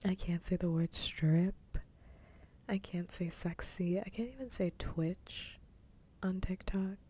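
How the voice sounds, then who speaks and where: A woman livestreamer chatting casually in a soft ASMR style, mostly in a whisper, close to the microphone. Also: slow pace, slightly rough. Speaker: livestreamer host. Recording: faint background noise, low rumble.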